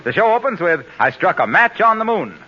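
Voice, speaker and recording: A man's voice: high-pitched, very animated, a little fast. A man announcing and reading ads in a polished, salesmanlike 1940s radio style, on a muffled old recording. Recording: dull 1940s radio recording with faint music.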